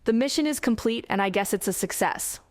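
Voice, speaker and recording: A woman's voice: a little high, animated, fairly quick, no room sound, studio quality, pitched above the performer's own voice, close to an everyday voice. A woman voicing an earnest, caring, determined young sister and soldier in an audiobook. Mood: earnest, pressing.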